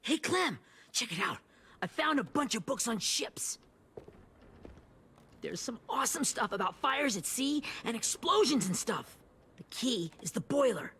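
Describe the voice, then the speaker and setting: A performer playing an excitable, reckless young teenage boy in a video game, with an eager, impulsive manner. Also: medium pitch, unhurried, slight background noise, full character voice. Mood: excited, defiant.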